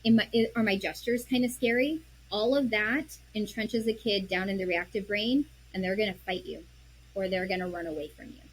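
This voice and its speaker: A woman speaks earnestly and explains each point with everyday examples, acting out the words she would use with a child. Her voice sits at an ordinary pitch for a woman. A faint hiss of noise lies under the recording. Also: moderate pace, low-quality recording. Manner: earnest, explains with examples and quoted self-talk; drops to a soft, quiet voice when modeling calm. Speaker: parenting guest. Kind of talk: conversational interview.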